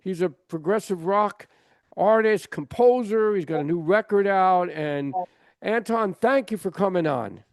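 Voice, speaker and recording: A male interview host from New Jersey with a high voice asks short questions and answers with quick acknowledgements. The sound is close and dry, with no room around it. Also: no echo. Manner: short prompts and brief back-channel replies. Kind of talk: casual interview.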